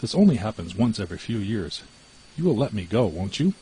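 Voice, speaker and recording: A man plays a sly, greedy female cat from a storybook. The cat talks smoothly and coolly, with deceit under every line and a very lively pitch. There is some noise in the recording. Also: a little fast, low-quality recording. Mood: wheedling. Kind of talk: storybook character voice.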